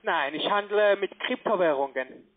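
A man giving a phone sales pitch in halting non-native German with grammar slips, as a call center agent. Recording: tinny band-limited phone line.

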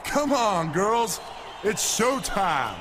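A man's voice: sits high, big pitch swings, drawn-out words, noisy background, heavily acted. A man playing a vain, muscle-bound young pro wrestler who boasts and swaggers in a video game cutscene. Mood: commanding.

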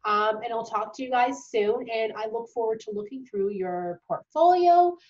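A woman's voice: fairly high pitch, normal speed, darkish tone. A woman in her thirties with a cold, talking casually to camera from a bedroom, with lots of ums and restarts. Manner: chatty, many ums and restarts. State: sick with a cold, voice going. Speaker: dental hygiene tutor. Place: small bedroom at home. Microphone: webcam at desk distance. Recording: dull lo-fi webcam audio.

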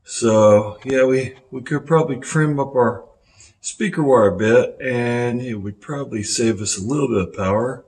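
A man's voice: mid-range voice, normal speed, a little mellow. A middle-aged man hosting a casual hands-on car audio vlog, thinking aloud as he goes. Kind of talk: casual hands-on install and review talk. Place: garage, car and house interior. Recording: roomy echo.

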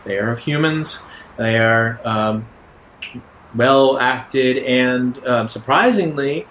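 A man narrating an improvised tabletop role-playing game as game master, in a halting, deliberate way. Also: medium pitch, fairly bright tone. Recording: roomy echo, background room noise.

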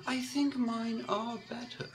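A woman's voice: on the high side, steady tone, slow, over music. A woman voicing a casual, forward woman stranger in a comic story, speaking in a nonchalant way. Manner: offhand, nonchalant.

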